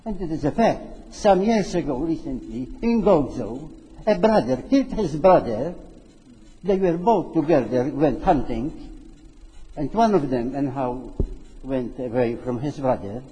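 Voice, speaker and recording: A man giving a measured public talk, repeating words for emphasis. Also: medium pitch, expressive, dark tone. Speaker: speaker on a panel. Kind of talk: unscripted public talk with a moral example. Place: large hall. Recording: long reverb in a hall.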